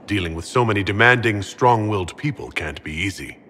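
A man's voice: on the low side, expressive, brisk, pushed and bright, big-room sound, a little hiss or hum. A man voicing a considerate, courteous leader of a fantasy order in a video game. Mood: supportive. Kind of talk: video game dialogue acting.

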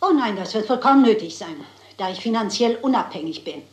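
A roomy sound surrounds an elderly spinster sleuth played by a woman. She is genteel, unflappable and a touch theatrical, with a highish voice, in the manner of a crime radio play. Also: animated, brisk, lightly acted. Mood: prim, assured. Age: older.

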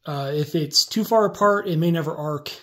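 A man walking through a hands-on build step by step in a relaxed, casual tutorial, filmed on a phone over a workbench indoors. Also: on the high side, normal speed. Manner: relaxed step-by-step explaining while working. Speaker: DIY tutorial maker.

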